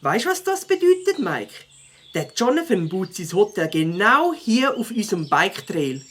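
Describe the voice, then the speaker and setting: A woman's voice: mid-range voice, very animated, normal speed, husky. A woman voicing a young, outspoken, skeptical boyish beaver biker in a children's audio drama. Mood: suspicious.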